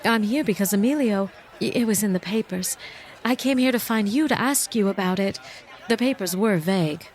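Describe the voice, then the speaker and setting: An actress playing a young married suspect in a noir audio drama, polite, hesitant and defensive. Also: female voice, medium pitch, moderate pace, a little mellow, lightly acted. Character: guarded young married woman under suspicion, evasively polite. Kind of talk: noir radio drama acting.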